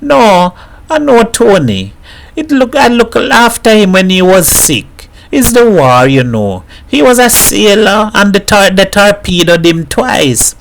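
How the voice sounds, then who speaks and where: A man's voice: big pitch swings, measured pace, creaky, a little hiss or hum, exaggerated voice. A male reader voices a fretful woman pleading for the husband she loves. Her voice sits far above his own and rises with earnest insistence. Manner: pleading, earnest, high-pitched.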